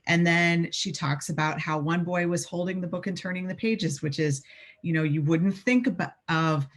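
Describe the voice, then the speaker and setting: A woman's voice: on the low side, moderate pace. A woman education instructor giving informal lecture commentary in a conversational way.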